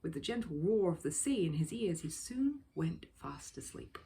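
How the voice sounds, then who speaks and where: A woman reading a children's story aloud as an expressive narrator. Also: deep voice, normal speed, creaky. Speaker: storybook reader.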